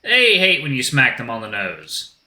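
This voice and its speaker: Man as a cocky, sardonic guy, bright voice. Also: medium pitch, wildly swooping pitch, normal speed, roomy sound, exaggerated voice. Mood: cocky, amused. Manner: blunt, sardonic. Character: cocky, sarcastic, irritable male animal character, Dom.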